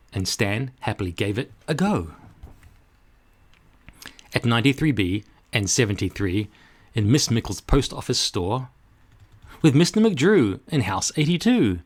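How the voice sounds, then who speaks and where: Animated, slow-paced male storyteller. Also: mid-range voice. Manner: rhythmic, dramatic reading of rhyme. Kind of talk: expressive scripted children's read-aloud.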